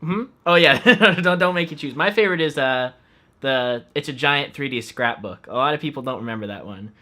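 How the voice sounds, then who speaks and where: A man's voice: medium pitch, brisk, pushed and bright. A man hosting a casual live stream interview in a friendly way, reading out viewer questions. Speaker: host.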